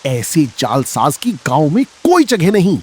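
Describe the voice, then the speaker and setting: An actor playing a greedy, jealous, bullying eatery owner in a village cartoon story, accusing and blustering. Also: male voice, on the high side, sing-song, measured pace, a little mellow, breathy edge, heavily acted. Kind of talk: dramatic Hindi moral-story cartoon acting.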